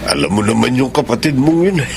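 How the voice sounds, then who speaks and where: A weary middle-aged father in a radio drama, sorry for himself, in a medium-pitched voice. The recording has a clear noisy hum. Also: very animated, fast. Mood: dejected, bitter. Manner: weary, self-pitying. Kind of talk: Filipino radio drama acting.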